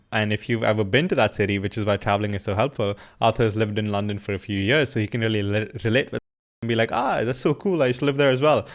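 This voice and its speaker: A young man in casual commentary, coaching viewers through a recorded sales call in a loose, slangy way. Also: on the low side.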